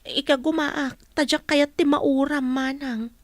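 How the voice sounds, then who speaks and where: Naive, timid kid sister, young Filipino, high voice, dry sound female actor, radio play. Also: steady tone, a little slow, dry recording. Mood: fearful, naive. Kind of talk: radio drama dialogue.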